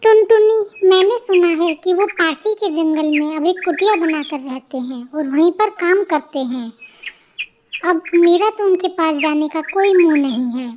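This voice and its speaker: A lazy elder-sister bird, played by a woman, very high in pitch and full of complaint and self-pity. It is children's cartoon storytelling, with faint noise and chirping behind the voice. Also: normal speed, darkish tone, dull audio. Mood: regretful. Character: lazy, work-shy married female bird, elder sister.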